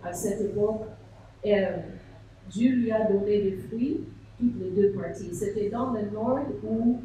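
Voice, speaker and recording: A woman's voice: medium pitch, unhurried, warm tone, slight background noise. A woman pastor, preaching a sermon and telling a story with rhetorical questions. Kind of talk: sermon at a seminar. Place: large echoey church hall. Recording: echoey hall from far off.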